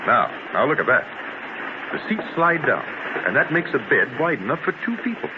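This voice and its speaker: An eager, folksy inventor pitches his creation in an old radio play. He is persuasive and very animated, heard through a muffled, noisy 1930s transcription. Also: a little fast. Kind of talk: 1930s radio drama acting.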